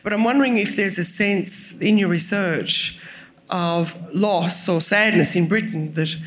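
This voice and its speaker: A person with an Australian accent stands up in the audience to ask a question. They speak politely and pause with fillers as they gather their thoughts. Their voice sits at a middle pitch. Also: fairly bright tone, low-quality recording. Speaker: audience member.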